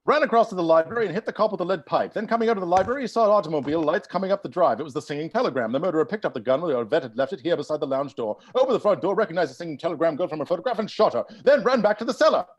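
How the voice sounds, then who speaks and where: A man playing a brisk, theatrical butler who unravels murders with animated, accusing declamation in a read-through of a film script. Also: on the high side, husky, highs cut off. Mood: frantic, excited.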